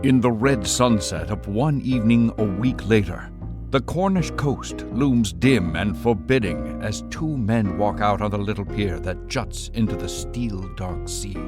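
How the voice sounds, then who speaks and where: A man announcing and narrating in a theatrical old-time radio style. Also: lowish voice. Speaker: host and narrator.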